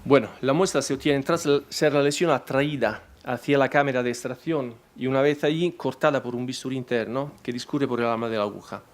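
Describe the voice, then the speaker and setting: A man whose first language is not Spanish, presenting a technical talk at a conference in a halting way, full of fillers and restarts. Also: mid-range voice, fairly even intonation.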